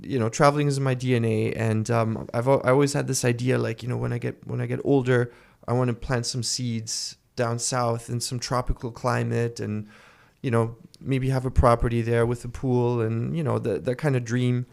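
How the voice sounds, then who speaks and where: Gravelly-voiced man, relaxed guest. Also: mid-range voice, normal speed. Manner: relaxed, lots of "you know" and "um". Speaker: podcast guest. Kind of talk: casual interview answers.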